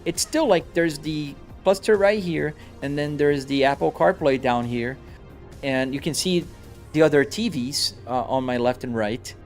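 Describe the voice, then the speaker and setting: A man's voice: fairly high pitch, unhurried. Brazilian man chatting casually, faint music. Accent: Brazilian Portuguese mixed with American English. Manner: chatty, answers viewer comments on the fly, frequent restarts. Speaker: live streamer. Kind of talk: casual live-stream chat while driving a simulator. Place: home simulator rig.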